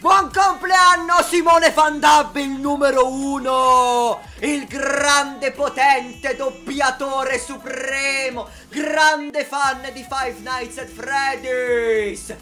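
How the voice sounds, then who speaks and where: A man's voice: extremely high pitch, steady tone, very slow, few pauses, pushed and bright, soft background music. A man proclaiming an exuberant birthday greeting in a bombastic manner.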